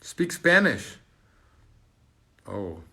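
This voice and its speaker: A Portuguese-speaking man from Brazil with a Brazilian Portuguese accent, hosting a casual live stream and reading out viewer comments, halting and searching for words. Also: fairly low pitch, sing-song, gravelly, bright, forceful tone.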